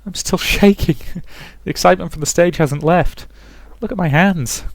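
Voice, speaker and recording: Man putting on an excitable young woman pianist, pitched up. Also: moderate pace, no room sound. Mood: giddy, shaken.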